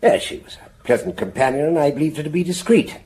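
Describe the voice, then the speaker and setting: A man's voice: fairly high pitch, big pitch swings, quick pace, darkish tone. An actor playing a haughty, guarded, middle-aged provincial businessman in a radio drama, speaking in a stiff, clipped, evasive way. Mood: reserved. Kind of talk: BBC radio drama acting.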